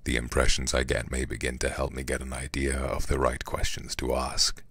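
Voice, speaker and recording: A man whispering in a hushed, deliberate ASMR manner close to the microphone, as a streamer reading through a game. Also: extremely low pitch, completely flat delivery, slightly rough, dry recording. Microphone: close-up ear-to-ear ASMR microphone.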